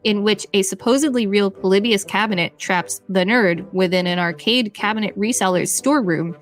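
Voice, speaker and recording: A woman's voice: medium pitch, normal speed. A woman co-hosting a podcast, reading an encyclopedia article aloud with care.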